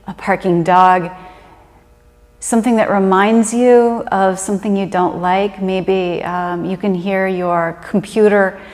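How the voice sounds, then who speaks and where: A woman's voice: mid-range voice, steady tone. Woman guiding meditation in a reverberant room. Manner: gentle, unhurried, conversational instruction. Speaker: meditation guide. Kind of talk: guided meditation. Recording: reverberant room with long echo.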